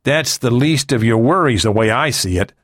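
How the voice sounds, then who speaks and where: A man's voice: mid-range voice, lively intonation, moderate pace, fairly bright tone, no room sound. A man voicing a dry, teasing, matter-of-fact crew colleague in an audiobook adventure. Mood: dryly teasing.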